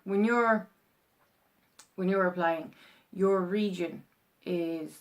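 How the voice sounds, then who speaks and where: A young woman with an Irish accent talks straight to camera in a loose, rambling vlog. Her voice sits a little low and has a gravelly fry running through it. She keeps stopping and restarting with plenty of ums. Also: slow. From Ireland. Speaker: vlogger. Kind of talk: casual explainer vlog.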